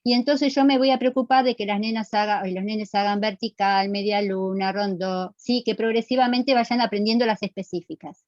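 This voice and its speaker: A woman's voice: mid-range voice, steady tone, normal speed, darkish tone, no room sound, highs cut off. A middle-aged woman with a Rioplatense Argentine accent lecturing to students in a didactic, emphatic way, checking they follow with short questions. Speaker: physical education lecturer.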